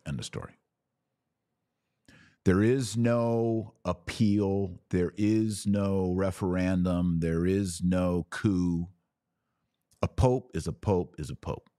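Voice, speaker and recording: An American man hosting a podcast, giving conversational commentary in an emphatic way with deliberate phrasing and pauses. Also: deep voice, mellow, no room sound, clean studio sound. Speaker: podcast host. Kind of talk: conversational commentary answering listener questions.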